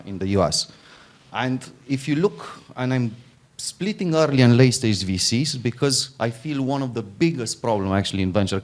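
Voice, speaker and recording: A middle-aged man from Romania, giving an informal conference talk, often restarting and repeating words. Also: lowish voice. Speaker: conference speaker, fund founder.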